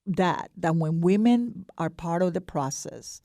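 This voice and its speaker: Low, creaky-voiced Ecuadorian woman. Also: lively intonation, a little slow, mellow, no echo, studio quality. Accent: Ecuadorian Spanish. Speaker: guest, board director of a peace nonprofit. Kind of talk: radio interview.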